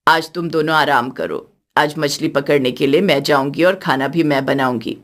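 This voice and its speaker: A woman voicing an elderly, wise and affectionate village mother-in-law in a cartoon story, speaking in a measured, earnest way. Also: sits low, voice pushed down. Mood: caring.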